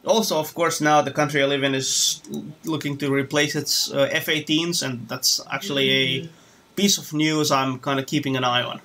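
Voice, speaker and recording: A man's voice: a little high, fairly even intonation, moderate pace, sharp tone, slight background noise. A man from Romania chatting casually on a live stream while flying a simulator, rambling and restarting his sentences. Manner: rambling, hesitant, many restarts. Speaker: flight sim streamer.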